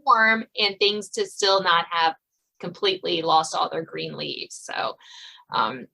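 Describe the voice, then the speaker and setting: A woman's voice: lowish voice, steady tone, a little slow, creaky, pushed and bright, slightly rough, low-quality recording. An American woman from Virginia chatting casually as the host of an interview podcast.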